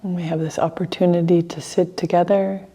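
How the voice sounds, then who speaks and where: A woman teaching a guided meditation, speaking gently in short phrases with long pauses between them. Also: fairly low pitch, flat delivery, breathy edge.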